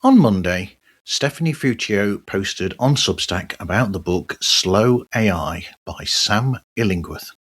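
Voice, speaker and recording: A man's voice: on the low side, lively intonation, measured pace, fairly bright tone, husky. A man hosting a podcast, presenting in a conversational way. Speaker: podcast host.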